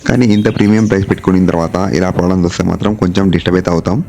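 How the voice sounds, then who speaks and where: A man's voice: sits low, mellow. A man with a Telugu accent narrating a product review vlog, speaking directly and mixing English terms into his talk. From India. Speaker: vlogger.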